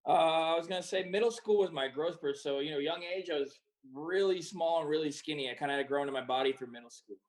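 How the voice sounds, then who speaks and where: A man in his twenties, a professional soccer player who grew up in Croatia and the United States, answering in a relaxed way with many fillers. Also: a little high, fast talker, few pauses, low-quality recording. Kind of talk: casual interview answers.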